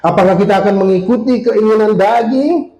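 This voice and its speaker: A man's voice: high-pitched, a little fast, warm tone, lo-fi sound. A man in his late forties preaching a sermon with an Indonesian accent, drawing in the congregation with questions and calls for amen. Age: 40s. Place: church. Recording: echoey church hall.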